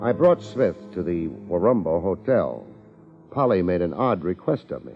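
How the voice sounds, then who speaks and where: Wry private eye, swooping delivery. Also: male voice, sits low, sing-song, normal speed, over music. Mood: wry narration. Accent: American. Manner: wisecracking, dry, sardonic. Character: wry, hardboiled male private investigator. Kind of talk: 1950s radio detective acting and narration. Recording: muffled 1950s radio recording.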